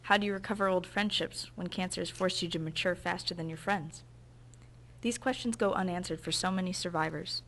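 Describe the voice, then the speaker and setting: Female documentary narrator: earnest, measured scripted read, lowish pitch.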